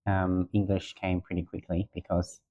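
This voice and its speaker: Man, thirties, very deep voice, casual, hesitant interview answers. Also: moderate pace, highs cut off. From Australia. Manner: relaxed, many ums and uhs, plain-spoken. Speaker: teacher and guest. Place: school room.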